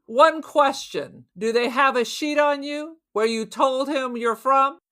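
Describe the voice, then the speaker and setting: Crime audio drama: actress to play nervy, suspicious woman robber, sarcastic and slangy, sharp bright delivery, higher register. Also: takes it slowly, exaggerated voice. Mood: sarcastic, probing. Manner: sarcastic, argumentative, streetwise slangy talk. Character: nervy, suspicious, cynical female robber on the run.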